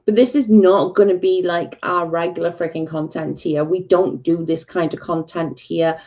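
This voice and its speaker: A woman's voice: fairly low pitch, steady tone, moderate pace, lo-fi sound. A Welsh woman hosting a casual livestream, talking bluntly and with exasperation to her chat. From Wales. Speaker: livestream host.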